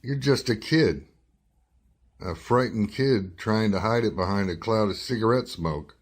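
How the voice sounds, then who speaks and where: A hard-boiled male reporter played by a man with a low, deep voice in a radio detective play. He is dogged and probing, with a wry touch. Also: a little slow, breathy edge. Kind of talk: radio detective drama acting and first-person narration.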